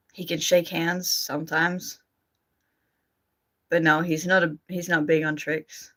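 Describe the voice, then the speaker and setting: A woman's voice: lowish voice, fairly bright tone. A woman with an Australian accent tinged with American, chatting informally and self-deprecatingly on a livestream as she answers comments. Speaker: streamer and amateur voice actor. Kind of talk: casual livestream chat, reading and answering comments.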